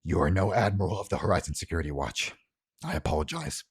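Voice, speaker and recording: Fantasy RPG orc enforcer, female, acted by a man: deep and raspy, measured, icily formal, menacing. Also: no echo, studio quality, exaggerated voice. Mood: cold, formal.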